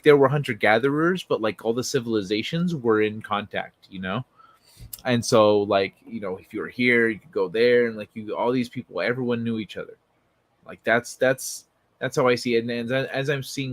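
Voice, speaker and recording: A man hosting a livestream in an informal, excitable, sweary way, talking casually and reading things aloud. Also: mid-range voice, no room sound. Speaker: livestream host.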